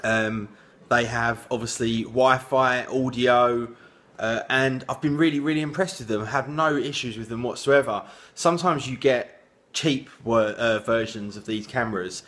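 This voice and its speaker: A man with a hoarse, croaky voice, chatting casually in a rambling vlog with lots of filler sounds. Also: medium pitch, moderate pace, fairly bright tone. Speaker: vlogger.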